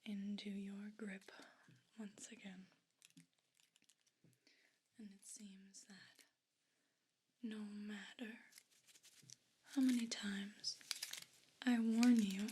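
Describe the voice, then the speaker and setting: A woman's voice: mid-range voice, a little mellow, a little hiss or hum. A woman voicing a haughty, aggrieved evil pet cat in a whispered ASMR role play, speaking in pompous, formal, disdainful phrases.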